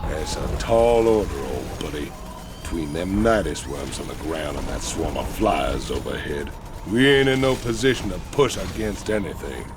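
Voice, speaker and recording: An actor playing a cocky, rough outlaw space marine in a video game, with a gravelly Southern US drawl and folksy, wry talk. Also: male voice, rumbling low, animated, moderate pace, background noise. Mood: cocky, grumbling. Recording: music behind the voice.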